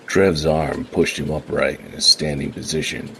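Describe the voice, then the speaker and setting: Man with a super deep voice reading out a noir story, slow and steady. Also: vocal fry, slight background noise. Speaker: narrator. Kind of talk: scripted narration.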